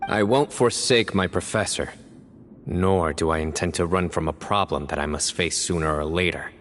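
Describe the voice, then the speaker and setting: An actor playing a stern young male judge who speaks in a resolute, measured way, in a game cutscene. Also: deep voice, slight background noise, lightly acted.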